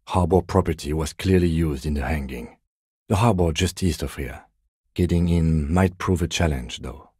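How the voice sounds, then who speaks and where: A man voicing a dry, dutiful police lieutenant detective in a video game, speaking in a measured, formal, deadpan way. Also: sits low, slightly rough, no echo. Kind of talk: scripted video game dialogue.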